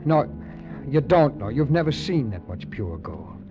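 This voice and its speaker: A sardonic hard-boiled adventurer. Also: male voice, mid-range voice, very animated, unhurried. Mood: narrating. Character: wisecracking, tough adventurer man narrating. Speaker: narrator and lead adventurer. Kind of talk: 1940s radio acting. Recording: dull, lo-fi old radio broadcast with music.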